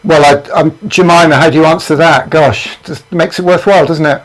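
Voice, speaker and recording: High, quick-talking male host. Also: steady tone, poor audio. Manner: chatty, quick, keeps the conversation moving. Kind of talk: live show hosting.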